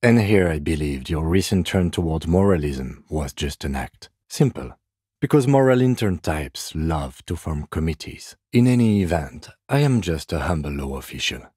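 A by-the-book male police detective in a video game. The voice sits low and is slightly husky. He speaks formally and with restraint, deadpan and measured, in a very dry recording. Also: dry recording, exaggerated voice. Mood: dryly sardonic. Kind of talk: scripted game dialogue.

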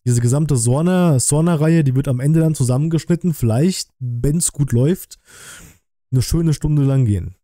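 Guy in his 30s, streamer chatting casually, really mellow dark tone, dry room close mic. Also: mid-range voice, no echo. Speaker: streamer hosting a reaction stream. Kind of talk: casual livestream chat. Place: small indoor home streaming room. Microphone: close desk microphone on an arm.

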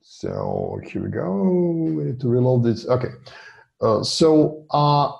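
Halting man with a gravelly voice. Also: medium pitch, big pitch swings, darkish tone, highs cut off. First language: Serbian. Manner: halting, many fillers and restarts while clicking through a screen. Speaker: data scientist presenting a dashboard. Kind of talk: screen-share presentation at an online meeting. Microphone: video call.

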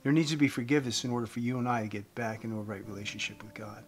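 A man in his fifties giving an earnest evangelistic talk to camera as a host, phrasing deliberately with emphasis. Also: medium pitch. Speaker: host giving a faith message. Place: indoors, room with wooden beams. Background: guitar music.

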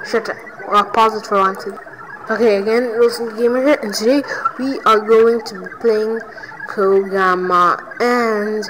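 A woman hosting her own gaming channel, giving let's play commentary and reacting loudly to each win and death. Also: fairly high pitch, noisy background.

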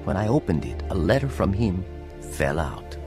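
A man's voice: low voice, sing-song, moderate pace, dark tone. Earnest young navy man, music behind. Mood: reflective narration. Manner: earnest, confessional. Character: troubled, earnest young navy man telling his conversion. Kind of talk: radio drama narration and acting.

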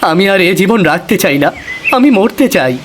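Man acting a plaintive young villager, raised pitch. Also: expressive, moderate pace, very noisy. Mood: anguished, pleading. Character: poor young village man, sorrowful and earnest.

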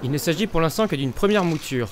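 A man reading a scripted news report voice-over in an even, brisk manner. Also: fairly high pitch, a little hiss or hum.

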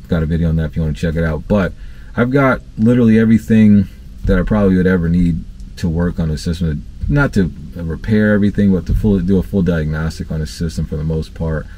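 A man in his thirties talks through work tips from the cab of his parked van. His voice sits very low and mellow, and he keeps it loose and casual, stopping for ums and restarting his sentences as he goes. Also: quick pace, poor audio. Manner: relaxed, conversational, many fillers and restarts. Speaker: HVAC technician and channel host. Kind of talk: casual training talk to camera.

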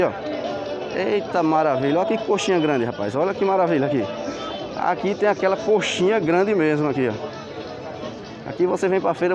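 An excited man walks through an open-air market, filming as he goes and pointing at each stall. His voice sits fairly high for a man, and a crowd murmurs steadily around him through the camera mic. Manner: enthusiastic, exclaims "que maravilha", points things out. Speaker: vlogger touring a street market. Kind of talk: walking market tour vlog. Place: busy outdoor street market. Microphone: handheld camera mic, filming while walking. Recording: crowd noise behind.

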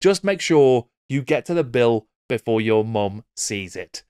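Casting: middle-aged British male host, mid-pitched, wry video essay delivery, dry close-mic sound. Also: lively intonation, unhurried, darkish tone, clean tone, dry recording. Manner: wry, theatrical emphasis. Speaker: YouTube host. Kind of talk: scripted video essay narration. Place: small book-lined home room. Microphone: close desk microphone with pop filter.

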